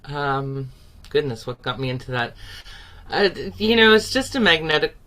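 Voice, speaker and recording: A woman's voice: low voice, lively intonation, moderate pace, fairly bright tone. A woman from the United States whose first language is Ukrainian, answering interview questions animatedly and laughing often. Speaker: guest, singer.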